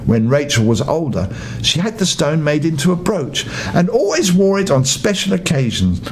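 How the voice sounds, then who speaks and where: An older man narrating a children's bedtime story as an expressive storybook reader. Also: fairly high pitch, normal speed, a little hiss or hum.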